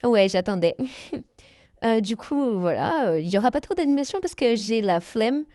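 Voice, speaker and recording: Young girl chatting to her viewers, bit high voice, lots of euh and giggles, dead dry sound. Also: expressive, moderate pace, mellow, no room sound. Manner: hesitant, many fillers, giggly. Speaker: YouTuber answering fan questions. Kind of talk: casual Q&A chat.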